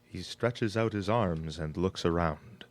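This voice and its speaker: Man as a low-voiced radio play narrator. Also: slow pace. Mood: matter-of-fact. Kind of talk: scripted narration.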